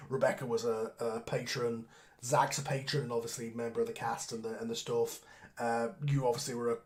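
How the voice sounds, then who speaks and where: A man hosts an aftershow over a video call, talking in loose, rambling runs with quick asides. His voice sits at an everyday middle pitch. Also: little pitch movement. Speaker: show creator and host. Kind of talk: casual aftershow chat on a group call.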